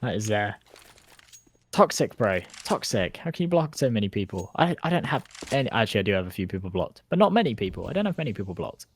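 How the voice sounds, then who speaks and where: A man's voice: on the low side, sing-song, fast talker, husky. A man with a British accent giving casual, chatty live color commentary on an esports match. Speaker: esports analyst caster.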